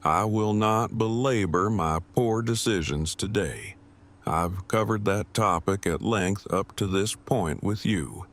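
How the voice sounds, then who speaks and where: A man playing an awkward, bookish groom in an audio drama, sincere, with stiff, measured, wordy phrasing. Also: fairly low pitch, fairly bright tone, heavily acted. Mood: reflective. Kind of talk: fan audio drama acting.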